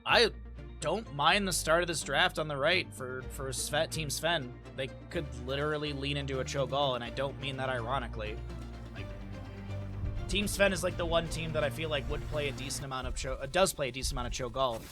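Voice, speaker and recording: A hoarse man casting a live-streamed esports match, chatty and thinking aloud, often correcting himself. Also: mid-range voice, brisk, music in the background.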